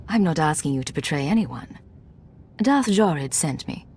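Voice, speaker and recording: A composed imperial agent in a video game. Her voice is low, her delivery measured and professional, with faint ambient noise behind. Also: expressive, darkish tone. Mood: calm. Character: composed, assured female imperial secret agent.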